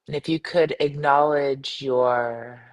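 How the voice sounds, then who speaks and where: A woman leading a guided meditation as a teacher, soothing and unhurried, giving gentle instructions with pauses between phrases. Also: extremely low pitch, fairly even intonation, low-quality recording.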